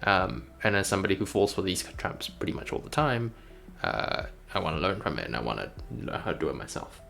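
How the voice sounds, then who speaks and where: A man's voice: sits low, big pitch swings, fairly quick, creaky, fairly bright tone. A man streaming in a casual, rambling way, thinking aloud with hesitant phrasing. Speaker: streamer, game developer. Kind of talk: casual livestream chat. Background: music.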